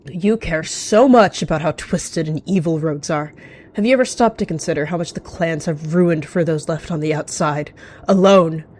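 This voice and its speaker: A man's voice: high-pitched, fast talker, creaky, warm tone, pitched above the performer's own voice. A man voicing a bitter, guarded rogue cat in a roleplay, wary and confrontational. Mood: bitter, angry.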